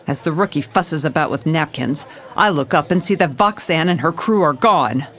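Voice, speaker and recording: An actress playing a world-weary, dogged female police commissioner, narrating noir-style in a dry, deadpan way. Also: sits low, normal speed, a faint crowd. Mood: dry, determined. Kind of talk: noir detective narration and dialogue.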